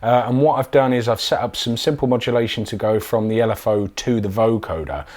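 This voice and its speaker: A man's voice: medium pitch, brisk, a little mellow. A man presenting a casual product demo tutorial in an informal, enthusiastic way. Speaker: synth demonstrator, presenter. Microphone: microphone.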